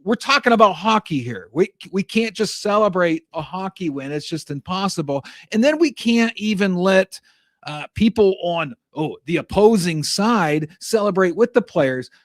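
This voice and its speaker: Host for a talk show: young man, slightly higher voice, rambling and exasperated, dry close sound. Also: expressive, normal speed, no room sound. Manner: rambling, exasperated, frequent restarts. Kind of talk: political commentary monologue.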